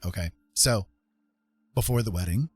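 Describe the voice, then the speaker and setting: A man's voice: fairly low pitch, moderate pace, a little mellow, breathy edge, soft background music. A man hosting a livestream, telling a personal story in a casual, rambling and informal way. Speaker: livestream host.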